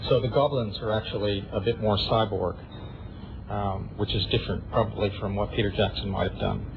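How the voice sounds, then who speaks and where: A man's voice: lowish voice, steady tone, brisk, creaky. A man from a theatre crew explaining his work informally. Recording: dull, noisy workshop recording.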